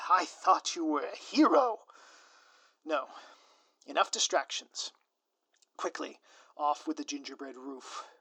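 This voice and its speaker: A man's voice: a little high, pushed and bright, dry recording, full character voice. Theatrical villain played by a man, close and dry. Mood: flustered indignant, then commanding. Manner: grandiloquent, theatrical, florid formal wording. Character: theatrical male supervillain, scheming, secretly lovestruck doting spouse. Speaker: audio roleplay performer. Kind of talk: scripted ASMR roleplay, direct address to listener.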